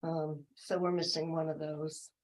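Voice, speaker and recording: Low-voiced woman giving a meeting report. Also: level tone, a little slow. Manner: hesitant, fillers and self-corrections. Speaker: library friends group treasurer.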